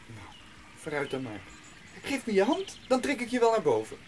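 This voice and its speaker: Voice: mid-range voice, huge pitch swings, moderate pace, a little mellow, husky. A performer playing a blunt, reluctant young goatherd boy in a children's audio drama. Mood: grumbling. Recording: noisy outdoor ambience with birdsong.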